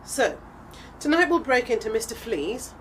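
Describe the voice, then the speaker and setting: A woman's voice: fairly high pitch, sing-song, normal speed, fairly bright tone, slight background noise. A British woman playing a rich, eccentric, flippant woman adventurer in an audio drama, posh and theatrical. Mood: coaxing, carefree. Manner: flippant, theatrical, genteel endearments.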